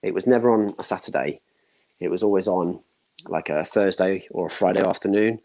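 A man's voice: sits low, fairly quick, a little mellow, rough recording. A man in his thirties chatting casually as a panel guest, giving opinions in short broken bursts. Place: home room, indoors, video call.

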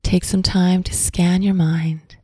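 A woman narrating a scripted guided visualization in a soft, breathy, soothing voice, with deliberate phrasing and long pauses. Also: on the low side, little pitch movement, no room sound, clean studio sound. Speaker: guided meditation host.

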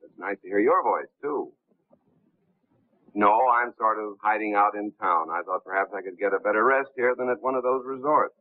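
Man doing smooth polite gentleman con man, lowish voice, old 30s film sound, tinny. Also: moderate pace, dry recording, natural voice. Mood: smoothly unruffled. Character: suave unflappable gentleman impostor posing as an attorney. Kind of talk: 1930s film acting.

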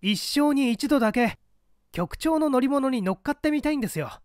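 A man's voice: very high-pitched, lively intonation, moderate pace, darkish tone, no room sound, studio quality, pitched above the performer's own voice. A man voicing an earnest, cheerful young son, polite and coaxing, in an audiobook story. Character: earnest, cheerful young son asking his father a favour.

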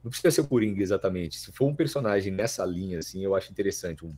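A man's voice: deep voice, expressive, racing, warm tone, breathy edge. A Brazilian man in his thirties chatting casually as a podcast guest, repeating words as he thinks aloud. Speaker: voice actor guest. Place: small plain-walled room indoors.

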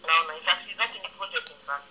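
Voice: medium pitch, rapid-fire, sharp tone. A support worker in a casual phone conversation, agreeable and often repeating phrases, heard through a small recorder speaker. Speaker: mental health support worker.

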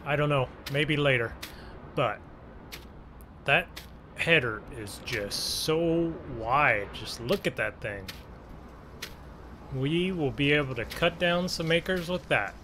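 A man with a slightly high voice talks very slowly through a casual gameplay role-play. He muses aloud to himself in halting phrases. Vehicle and engine noise sits clearly behind him. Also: animated, pushed and bright. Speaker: gaming channel host. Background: game vehicle engine sounds.

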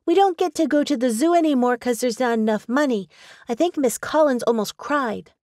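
A woman's voice: high voice, brisk, darkish tone, no echo, clean studio sound, voice pushed up, lightly acted. A woman voicing Sophie, a chatty, sweet young girl.

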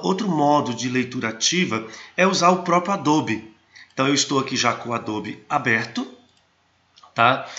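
A man hosting a screen-recorded tutorial on software and apps, talking with many restarts and fillers. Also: medium pitch, highs cut off. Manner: hesitant, frequent restarts and fillers. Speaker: host of a geotechnology channel.

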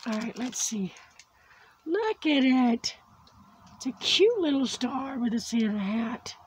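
A woman hosting a casual craft video, her voice worn from losing it after surgery, slowly chatting and cooing over small crafts. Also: a little high, lively intonation, slight background noise. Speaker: crafting channel host. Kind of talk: casual unboxing chat. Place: indoors at a tabletop craft setup.

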